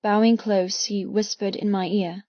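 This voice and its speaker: A woman narrating a first-person audiobook with expressive, intense, dramatic delivery. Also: mid-range voice, unhurried, no echo. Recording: slightly muffled, dry close studio sound.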